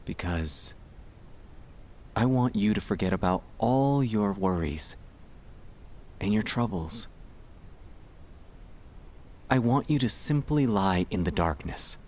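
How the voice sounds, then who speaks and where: A man speaking in a gentle, soothing and reassuring way, guiding relaxation in an ASMR style with pauses between short phrases. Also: lowish voice, expressive. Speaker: ASMR sleep-aid performer.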